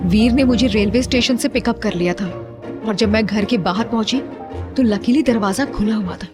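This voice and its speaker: A woman's voice: a little high, normal speed, natural voice. A woman playing a young wife, earnest and a little defensive, in an audio story drama over music. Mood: explaining.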